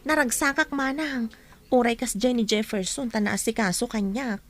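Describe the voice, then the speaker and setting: A woman's voice: on the high side, fairly even intonation, normal speed, a bit bright, lightly acted. A woman acting a contented, teasing young village wife in a radio drama, with an Ilocano accent.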